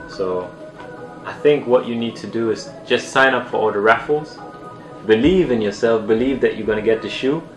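Casual vlogger, man in his 30s, slightly low voice, roomy sound with music underneath. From Germany. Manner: laid-back, chatty, uses slang. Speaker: sneaker vlogger. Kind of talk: casual talk to camera. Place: plain indoor room at a desk. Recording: roomy echo with music behind.

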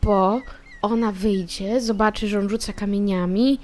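A woman's voice: medium pitch, fairly even intonation, a little slow, darkish tone. A woman playing a tabletop role-playing game, chatting casually in character.

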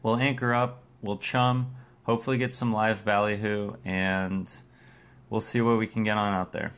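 A man's voice: lowish voice, normal speed. A young man hosting a casual fishing vlog from a small boat, laid-back and laughing often, recorded on an action camera. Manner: laid-back, laughs often, excitable when fish bite. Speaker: fishing channel host. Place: small boat on the open sea. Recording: noisy outdoor boat audio.

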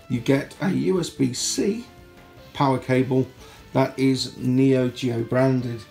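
A man from the UK with a sore throat, chatting casually through an unboxing at home, recorded on a handheld phone and clearing his throat now and then. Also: medium pitch, darkish tone. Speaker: product reviewer. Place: indoor home room. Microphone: phone held in hand.

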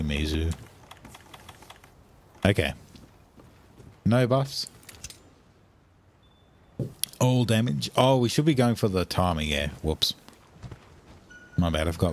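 Low-voiced Australian man streaming a game. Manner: swears freely, reacts loudly to the game. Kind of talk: casual live game commentary.

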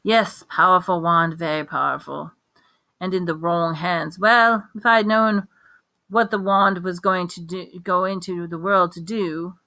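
An eccentric old man who sells wands, voiced by a woman reading a story. He drawls in a lilting way, then jabs with sudden sharp emphasis, and he probes every word with a bright, forceful tone. The sound is dry and close. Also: fairly low pitch, fairly even intonation, a little slow, no room sound. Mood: musing. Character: eccentric old male wandmaker, intense and probing.

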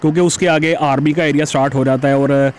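A man's voice: a little high, flat delivery, fast talker, slight background noise. A man chatting to viewers as he rides a motorbike through busy city streets, recorded on a helmet-mounted camera. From Pakistan. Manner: chatty, addresses viewers as "janab". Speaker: motovlogger. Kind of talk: casual commentary while riding. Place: outdoors on a busy city road, riding a motorbike.

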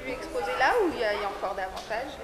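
A woman's voice: fairly high pitch, racing, echoey room. A middle-aged woman interviewing casually, with short questions and encouraging interjections. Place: indoor exhibition hall. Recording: loud crowd noise behind.

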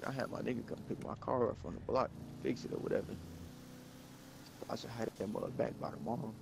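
A man's voice: mid-range voice, brisk, vocal fry. A man playing a gang member in online roleplay, chatting casually in street slang and swearing. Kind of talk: in-character casual street chat. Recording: noisy game audio with engine sounds. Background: game vehicle noise.

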